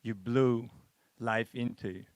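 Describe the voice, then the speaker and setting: A man's voice: mid-range voice, steady tone, takes it slowly, darkish tone, dry recording. Hesitant man with a Hungarian background. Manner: hesitant, many restarts and fillers. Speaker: masterclass participant. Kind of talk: halting discussion remarks.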